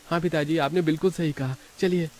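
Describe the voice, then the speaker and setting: A man voicing an obedient, eager young son from a poor family in an animated moral story. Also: fairly high pitch, brisk, voice pushed up, lightly acted. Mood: eager, dutiful.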